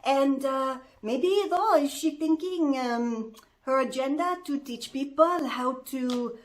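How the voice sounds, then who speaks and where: Woman putting on a high, halting Frenchwoman. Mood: earnest. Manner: halting, hesitant, singsong phrasing. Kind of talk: playful in-character vlog chat.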